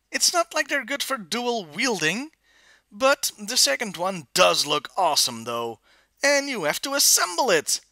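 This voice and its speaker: Male review host: wry, opinionated, scripted narration, bright tone with lots of pitch movement. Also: no room sound, clean studio sound.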